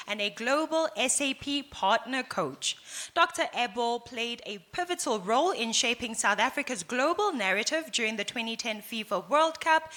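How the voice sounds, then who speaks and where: Female conference MC, high bright voice, formal announcing delivery. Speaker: master of ceremonies. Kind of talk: formal speaker introduction. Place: conference hall.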